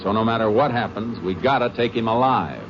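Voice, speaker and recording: A man's voice: on the low side, brisk, background noise, close to an everyday voice. A man playing a terse, determined Texas Ranger. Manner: terse, clipped first-person narration. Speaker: Texas Ranger lead and narrator. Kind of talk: 1950s radio drama acting. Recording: dull old radio broadcast with music.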